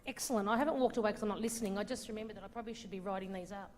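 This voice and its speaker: A woman's voice: medium pitch, rapid-fire. An Australian woman facilitating a group workshop, praising each answer and moving the discussion on. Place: large conference hall. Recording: reverberant hall recording.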